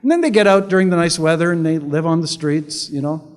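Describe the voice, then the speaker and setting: Male speaker from Canada, sarcastic stump-speech delivery, voice on the higher side, reverberant hall. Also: fast talker, darkish tone. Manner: sarcastic, conversational, repeats words when restarting. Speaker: public speaker. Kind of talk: political speech to an audience.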